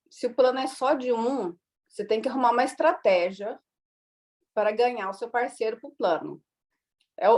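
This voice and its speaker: A woman's voice: fairly high pitch, darkish tone. A woman from Brazil, a guest in an interview, telling family stories in a chatty way full of fillers and acted-out dialogue. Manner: chatty, many fillers, acts out quoted dialogue. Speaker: guest, immigrant mother. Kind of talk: casual interview storytelling.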